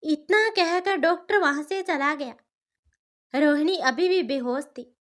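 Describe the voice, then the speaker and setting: A woman's voice: high voice, measured pace, a bit bright. A woman storyteller narrating a scripted cartoon family tale.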